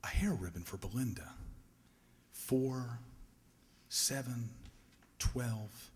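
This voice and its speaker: A man's voice: mid-range voice, takes it slowly, a little mellow, natural voice. A man acting in a radio play as a meek, kindly clerk, timid and eager to please, hesitant and deferential. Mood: absorbed. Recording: echoey hall with long reverb.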